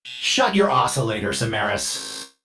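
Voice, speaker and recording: Menacing, slow-spoken rogue ship AI. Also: male voice, medium pitch, very animated, pushed and bright, soft background music. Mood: hostile, impatient. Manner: curt, sarcastic, aggressive outbursts. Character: menacing, impatient male ship AI alter ego. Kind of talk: scripted video game character acting.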